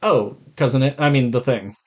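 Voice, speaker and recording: A man's voice: mid-range voice, normal speed. Casual man chatting about movies. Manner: informal, swearing. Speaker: podcast co-host.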